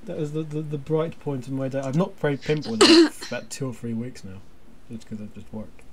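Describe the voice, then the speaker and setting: A man's voice: mid-range voice, sing-song, brisk. A man hosting a podcast in casual chat, with a wry, self-deprecating, grumbling manner. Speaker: podcast host.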